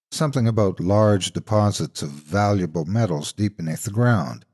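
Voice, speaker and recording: Older male horror narrator. Lower-pitched, highly varied intonation, deliberate scripted storytelling delivery. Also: a little mellow.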